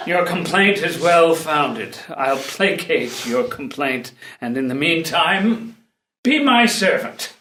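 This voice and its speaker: Bright, measured courtly tutor played by a man. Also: on the high side, very animated, full character voice. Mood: dignified, approving. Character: dutiful, honour-bound male tutor and courtier. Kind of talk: unrehearsed dramatic play reading of classical comedy. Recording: echoey room.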